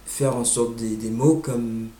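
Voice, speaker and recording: A man with an American accent, a native English speaker, slowly talking through his thoughts and searching for words with frequent fillers. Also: mid-range voice, steady tone, mellow, echoey room, slight background noise. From United States. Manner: hesitant, searching for words with many "euh" fillers. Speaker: language learner sharing his experience. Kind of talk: casual monologue.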